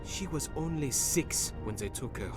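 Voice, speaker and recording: A man as an earnest, mid-pitched lieutenant. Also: lively intonation, moderate pace, over loud music, natural voice. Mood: troubled. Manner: earnest, deferential. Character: earnest, compassionate young male military lieutenant. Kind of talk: video game acting.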